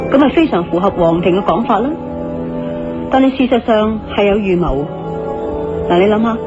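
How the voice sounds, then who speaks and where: An actress playing a shrewd, confident wife who reasons like a detective in a Cantonese radio drama, deliberate and assertive. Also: female voice, on the high side. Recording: dull lo-fi radio recording, music behind.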